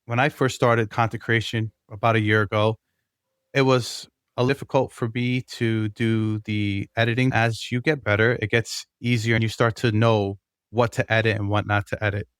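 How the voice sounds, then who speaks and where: A man's voice: lowish voice, little pitch movement, normal speed, a bit bright, clear, resonant tone, no echo, clean studio sound. A man hosting a podcast, reading a prepared opening and then asking conversational questions. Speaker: podcast host.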